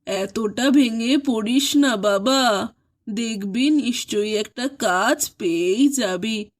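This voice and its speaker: A woman playing an anxious, tender elderly mother of a grown son in an animated horror story, pleading and soothing. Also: a little high, slow pace. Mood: soothing, concerned.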